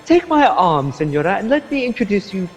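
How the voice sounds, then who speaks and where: Man acting a courtly flatterer, reverberant hall. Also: high voice, fairly quick. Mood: flattering. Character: suave, flattering party guest, gallant and effusive. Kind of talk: radio drama acting. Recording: long hall reverb, music underneath.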